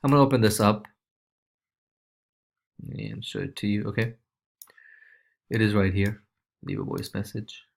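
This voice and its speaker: A man presenting a casual software walkthrough, talking informally and loosely with frequent short check-in tags. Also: fairly low pitch, a little slow, creaky, pauses often.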